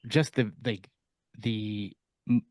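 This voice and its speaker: Male panel podcaster, lowish pitch, very dry sound, casual and hesitant delivery. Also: darkish tone, dry recording. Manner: hesitant, halting, circles around his point. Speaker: podcast co-host.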